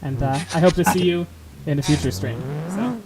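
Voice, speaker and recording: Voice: medium pitch, a little fast, a loud crowd, poor audio. A streamer chatting casually while playing a driving game, rambling and self-deprecating, laughing often. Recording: steady game noise behind. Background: car game sounds.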